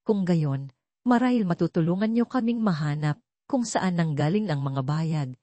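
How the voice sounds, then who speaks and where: A female detective, read by a woman narrator in an audio drama. She is polite but probing, formal and matter-of-fact, with a mellow voice and dry sound. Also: fairly low pitch, expressive, moderate pace, no echo. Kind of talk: audio drama storybook reading.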